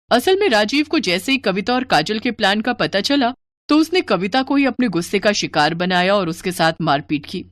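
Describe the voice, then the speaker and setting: A woman's voice: medium pitch, dry recording. A woman narrating a scripted moral story as a storyteller.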